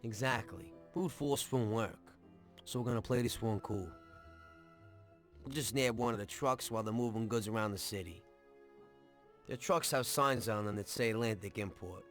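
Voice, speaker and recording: An actor playing a cocky, excitable mob henchman in a video game cutscene, blunt and slangy, over music. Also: lowish voice, natural voice. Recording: background music under the dialogue.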